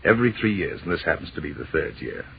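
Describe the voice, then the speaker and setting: On a noisy, narrow-band 1930s radio recording, a deep-voiced professor husband in middle age. He is teasing and romantic, turning his phrases with wordy, mock-formal flourish in period drama style. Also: sing-song, quick pace, creaky, full character voice. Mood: wry, explaining. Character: playful, romantic, professorial husband, an astronomy instructor.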